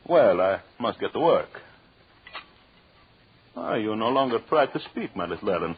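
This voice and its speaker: An actor playing a jealous, murderous husband in an old radio drama, with a theatrical, mocking menace and formal phrasing. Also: male voice, lowish voice, very animated, normal speed, slightly rough, exaggerated voice. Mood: mocking, gloating. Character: vengeful, jealous husband, cruelly gloating murderous anthropologist. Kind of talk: 1940s radio drama acting. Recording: dull, lo-fi old radio recording.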